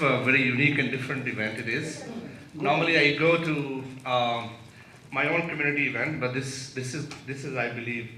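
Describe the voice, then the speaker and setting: A man attendee speaking earnestly and haltingly, often repeating his words. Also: medium pitch, pushed and bright. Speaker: event attendee. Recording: echoey room, distant mic, faint background noise.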